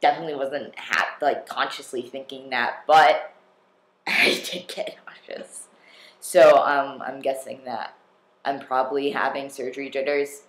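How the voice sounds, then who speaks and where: Young man, casual vlogger, slow unhurried delivery, rambling with lots of "um" and restarts. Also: mid-range voice, steady tone, a bit bright, echoey room. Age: young adult. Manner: rambling, self-deprecating, many fillers and restarts. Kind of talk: casual personal vlog.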